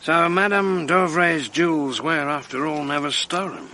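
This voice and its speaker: An actor playing a genial French mayor in a radio drama, gravelly, expansive and emphatic. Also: male voice, a little high, moderate pace, bright, forceful tone. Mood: conversational, pointed. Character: genial, forceful French mayor aiding police. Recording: radio drama with faint background noise.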